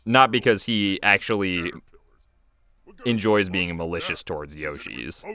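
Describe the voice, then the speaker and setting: A man giving informal, off-the-cuff commentary as the creator of an animated show. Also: deep voice, measured pace. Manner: informal, chuckling, hesitant. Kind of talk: casual commentary.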